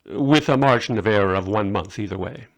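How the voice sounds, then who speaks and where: A man's voice: on the low side, a little fast, natural voice, rough recording. A man reading the part of a learned male astrologer, proud yet anxious, explaining himself earnestly in a story. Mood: assured.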